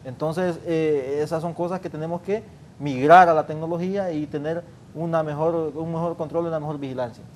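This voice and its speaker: Male panel guest, earnest and repetitive, faint background rumble. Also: fairly even intonation, fairly quick, a little mellow. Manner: earnest, explanatory, repeats himself. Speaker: traffic official guest. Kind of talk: interview, arguing a point.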